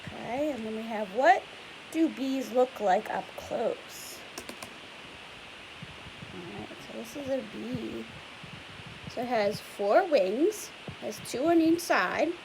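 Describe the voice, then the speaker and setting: Lively woman reading to children. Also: fairly high pitch, slow pace, vocal fry, pauses often. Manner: chatty and explanatory, asks children questions. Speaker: teacher reading aloud. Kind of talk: read-aloud lesson for children. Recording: faint hiss.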